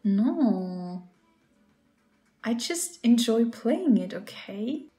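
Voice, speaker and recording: Woman playing a slow-talking, playful gamer girlfriend. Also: on the high side, sing-song, dark tone, faint music behind, heavily acted. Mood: playful, pleading. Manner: playful, pleading, talks to the listener as "babe". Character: playful, game-obsessed young girlfriend. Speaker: ASMR roleplay performer. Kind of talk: ASMR girlfriend roleplay.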